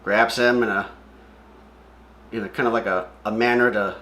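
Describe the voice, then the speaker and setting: A man's voice: fairly low pitch, steady tone, moderate pace, bright, forceful tone. A man hosting a comic read-along with casual, offhand and opinionated commentary as he describes what he sees. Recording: echoey room.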